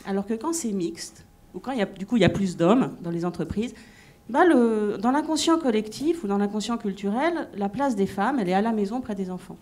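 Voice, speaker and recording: A woman's voice: medium pitch, brisk, darkish tone. A woman career coach speaking on a conference panel, thoughtful and hesitant with many fillers. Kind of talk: panel discussion answer.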